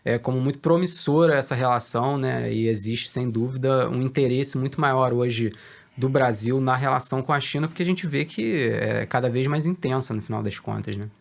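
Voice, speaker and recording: Brazilian guy, gravelly voice, slow careful answers with lots of ums, business chat. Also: medium pitch. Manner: measured, hesitant with many fillers. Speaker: guest, research director. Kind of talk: conversational business interview.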